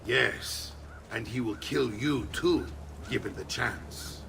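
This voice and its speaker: A man with an Italian accent playing a gruff, hearty middle-aged uncle and fighting leader in a video game cutscene. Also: medium pitch, slow pace, a little hiss or hum. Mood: calm, firm. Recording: loud orchestral game music behind.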